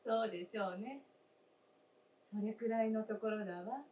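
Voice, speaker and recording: An actress playing the gentle, wistful spirit of a dead wife in a staged radio drama, with polite, old-fashioned feminine speech and deliberate phrasing. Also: female voice, a little high, close to an everyday voice. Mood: frank, reproving. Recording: roomy stage, distant mic, faint noise.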